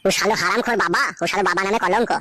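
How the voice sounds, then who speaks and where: A woman's voice: medium pitch, racing, lightly acted. A woman playing a surly, scheming young village man who grumbles crudely in an amateur cartoon comedy. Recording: loud background noise.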